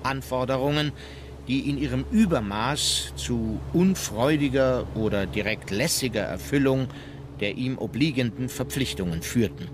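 A man playing a measured, critical courtier giving a formal recollection in a radio play. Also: mid-range voice, noisy background, close to an everyday voice. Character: measured, critical male witness from the Kaiser's entourage.

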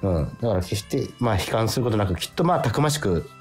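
A man's voice: on the low side, lively intonation, fast, a little mellow. Male radio host chatting, music behind. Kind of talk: casual radio chat.

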